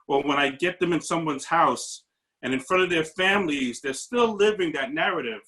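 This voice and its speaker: A man's voice: fairly high pitch, fairly bright tone, rough recording. A man, a paramedic, sharing an earnest, searching reflection in a discussion over a video call. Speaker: paramedic audience member.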